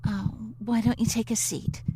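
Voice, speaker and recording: A woman's voice: fairly high pitch, flat delivery, moderate pace, warm tone, slight background noise, lightly acted. A woman playing a kindly elderly receptionist in an audio drama, worried and hesitant in a motherly, fussing way. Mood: worried, soothing.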